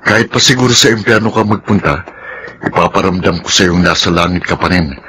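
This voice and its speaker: An earnest, lovestruck suitor in a radio drama, speaking in a fairly low but forceful, bright voice. He pleads and sweet-talks doggedly, with clear noise behind him. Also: fairly even intonation, brisk. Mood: pleading, persistent. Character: earnest, doggedly devoted male suitor, easily wounded. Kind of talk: Filipino radio drama acting.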